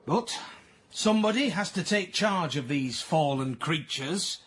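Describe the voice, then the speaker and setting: An actor playing a pompous, contemptuous, moralising workhouse doctor in a period radio drama, with a husky voice and clipped formal manner. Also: male voice, on the high side, expressive, measured pace, a bit bright, full character voice. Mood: scornful.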